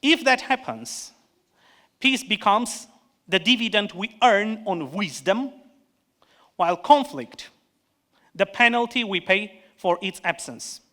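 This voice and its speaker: Man with an Armenian accent, economist giving a panel speech. Higher pitch, bright tone, earnest and measured, restarts the odd word. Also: expressive. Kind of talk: prepared conference speech.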